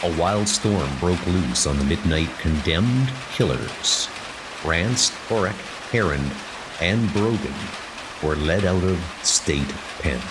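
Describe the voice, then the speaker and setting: Slow, low-voiced man narrating horror. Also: lively intonation, noisy background. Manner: deliberate, portentous phrasing. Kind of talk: dramatic scripted horror comic narration.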